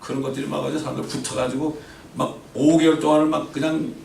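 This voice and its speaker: A man's voice: a little high, flat delivery, fast talker, gravelly, a little mellow, slight background noise. Man preaching in an echoing church. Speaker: pastor. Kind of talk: sermon. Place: church sanctuary. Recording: roomy church echo from far off.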